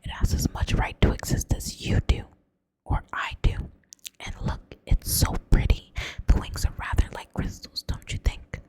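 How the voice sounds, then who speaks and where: A woman's voice: normal speed, close to an everyday voice, poor audio. A woman whispering as a defiant teenage girl with a Southern US accent. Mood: teasing. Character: sharp-tongued teenage girl, bold and defiant.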